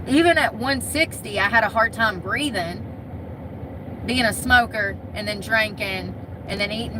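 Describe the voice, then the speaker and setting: A woman from Michigan talking casually to the camera in a chatty, candid, wisecracking way. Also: medium pitch. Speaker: vlogger. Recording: noisy outdoor street sound.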